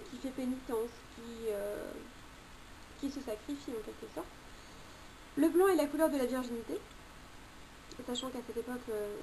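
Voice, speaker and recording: A woman with a high voice explains tarot cards in a relaxed, conversational way. She hesitates often, restarts phrases and throws in small joking asides. A faint hiss of noise sits under the recording. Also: rough recording. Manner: chatty, many hesitations and self-corrections, jokes aside. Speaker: tarot commentator. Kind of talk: informal spoken commentary on tarot cards.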